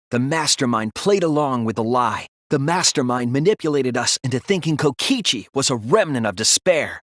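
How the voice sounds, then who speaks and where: A man voicing an earnest, thoughtful teenage detective in a video game, reasoning aloud in a deliberate, resolute way. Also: medium pitch, a bit bright, dry recording, studio quality. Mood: pensive, deductive. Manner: deliberate, reasoning aloud, emphatic when refuting. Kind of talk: English video game voice acting, dramatic deduction scenes.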